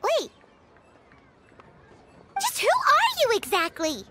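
Casting: small female game fairy, childlike and squeaky, very high, animated, blunt and cheeky. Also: slow, heavy background noise, exaggerated voice. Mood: suspicious. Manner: exclamatory, blunt, refers to herself by name. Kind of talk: animated video game voice acting.

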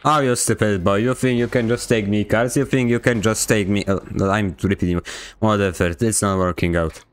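A man's voice: lowish voice, steady tone, normal speed, clear, resonant tone. A young man from Poland with a Polish accent, giving joking, sarcastic commentary while streaming a game. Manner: joking, sarcastic, mock-indignant. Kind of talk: casual gameplay commentary.

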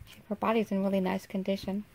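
Folksy, chatty woman with a gravelly edge. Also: medium pitch, level tone, quick pace, a little mellow, low-quality recording. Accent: American. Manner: chatty, laughs often, folksy. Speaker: vlogger. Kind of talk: casual vlog chat. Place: inside a vehicle. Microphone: handheld phone camera.

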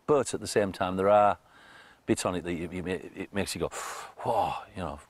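Deep-voiced man talking music. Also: moderate pace, slightly rough. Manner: enthusiastic, blunt, swears casually. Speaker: band member. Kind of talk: documentary interview. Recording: music playing underneath.

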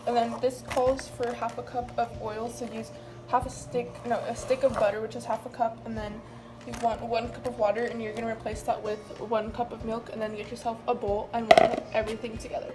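A woman's voice: fairly high pitch, flat delivery, brisk, background noise. A young woman talking casually to the camera while baking in her home kitchen, rambling and chatty with lots of fillers. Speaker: vlogger. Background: background music.

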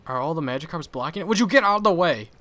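Bright-voiced young man narrating his gameplay. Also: a little high, expressive, racing. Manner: chatty, laughs often at his own mistakes. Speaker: gaming channel host. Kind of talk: casual gameplay commentary.

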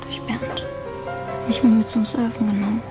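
A woman's voice: on the high side, flat delivery, moderate pace, music in the background, close to an everyday voice. A woman acting a grieving, guarded girlfriend in a crime radio play, giving short subdued answers. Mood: subdued, defensive. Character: grieving, guarded young girlfriend of a dead man.

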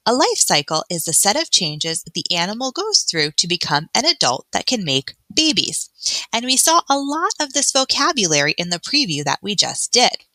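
A woman teacher reading an educational book aloud for children, adding explanations in a clear, expressive, instructional way. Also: mid-range voice, moderate pace, no room sound. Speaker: elementary school teacher.

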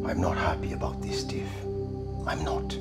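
An actor with a Nigerian accent playing a stern, possessive boss who is quick to anger, in a naturalistic screen drama. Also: male voice, medium pitch, huge pitch swings, over music. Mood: displeased.

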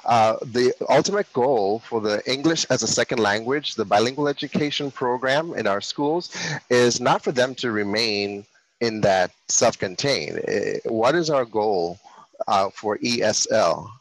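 A man hosting a podcast interview, asking questions in a measured, polite way. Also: medium pitch, low-quality recording. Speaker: podcast host.

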